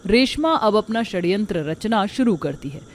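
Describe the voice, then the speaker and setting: A woman reading a scripted narration for an animated moral story. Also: medium pitch, normal speed, slight background noise.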